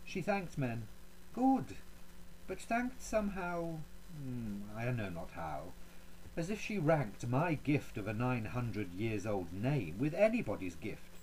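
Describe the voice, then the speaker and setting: Man playing a haughty duke, swooping in pitch. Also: big pitch swings, a little mellow, slight background noise, low-quality recording. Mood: disdainful, indignant. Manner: haughty, courtly, deliberate phrasing with pointed pauses. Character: proud, jealous, coldly menacing Renaissance duke. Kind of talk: dramatic poetry recitation.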